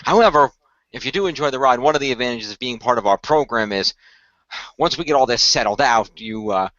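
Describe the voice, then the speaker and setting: A man performing and narrating a semi-improvised radio play in a measured, explanatory way with formal phrasing. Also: mid-range voice, expressive, fairly bright tone, no room sound. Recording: dry, dull lo-fi microphone.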